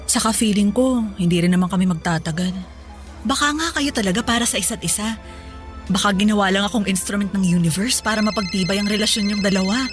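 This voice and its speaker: A woman's voice: mid-range voice, close to an everyday voice. An actress playing a chatty young Filipino office woman, breezy and coy, mixing English into her talk in a radio drama. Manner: casual Taglish, breezy, coy.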